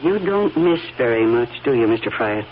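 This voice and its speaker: An actress with an American accent playing a guarded, evasive rancher's daughter in a radio drama, with a clipped, defensive manner that falters. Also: female voice, rumbling low, sing-song, normal speed. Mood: cold, firm. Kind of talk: old-time radio drama acting. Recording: dull, noisy old radio recording.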